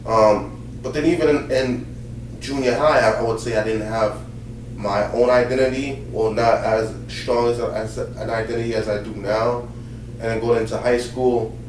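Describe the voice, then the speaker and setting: A Haitian-born man, a pastor and host telling his life story in a relaxed, casual chat full of fillers. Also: medium pitch, normal speed. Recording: roomy sound from a distant mic with faint noise.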